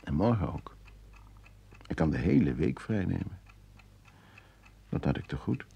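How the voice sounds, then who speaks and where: Hesitant husband, low gravelly voice, lively intonation, uneasy, old radio drama. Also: male voice, heavily acted. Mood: evasive. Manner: hesitant, halting. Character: evasive, uneasy husband, a homebody. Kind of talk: Dutch radio play acting. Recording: noisy old radio play recording.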